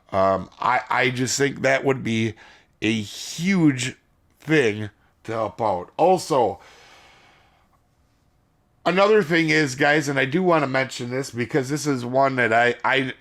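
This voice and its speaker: Gaming host, adult man, gravelly and strained from losing his voice, rambling casual delivery aimed at "guys". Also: mid-range voice, a bit bright. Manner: rambling, addresses viewers as guys. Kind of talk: casual video commentary.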